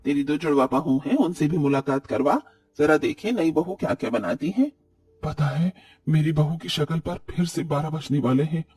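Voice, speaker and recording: A demanding older auntie from a cartoon moral tale, voiced by a man. The voice is fairly high for a man and husky, chattering on and finding fault. It is recorded slightly off the mic. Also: normal speed, a little mellow, far from the mic. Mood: breezy, nosy. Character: demanding older visiting aunt, a fussy, entitled woman.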